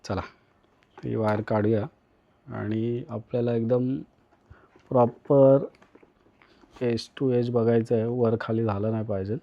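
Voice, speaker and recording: A man's voice: mid-range voice, fairly even intonation, takes it slowly, pauses often, highs cut off. A man with an Indian accent from Maharashtra gives a casual hands-on tutorial, talking to viewers in short, repeated instructional phrases. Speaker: vlogger, tutorial host.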